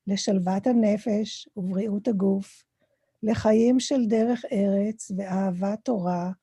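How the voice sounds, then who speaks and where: A woman with an Israeli accent, reading a Hebrew blessing smoothly over a video call. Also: mid-range voice, mellow, no echo. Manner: reads Hebrew prayer smoothly.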